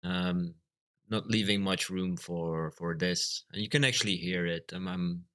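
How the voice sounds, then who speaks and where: A Flemish man from Belgium whose first language is Dutch, chatting casually on a live stream, halting and full of fillers. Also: sits low, a bit bright. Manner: halting, lots of fillers and restarts. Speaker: livestreamer.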